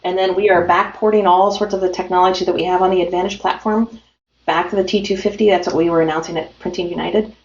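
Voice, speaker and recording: A woman's voice: on the low side, steady tone, fast, a little mellow. A woman guest in a remote business interview, talking in an upbeat, promotional way with frequent fillers. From United States. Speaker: industry guest from a printing company. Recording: roomy, dull audio with faint music.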